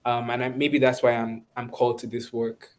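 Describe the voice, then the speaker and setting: A Nigerian man speaking reflectively and conversationally in an online webinar, often pausing and restarting as he searches for words. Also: mid-range voice, level tone, slight background noise. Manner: thoughtful, halting, searching for words. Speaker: webinar speaker, course instructor.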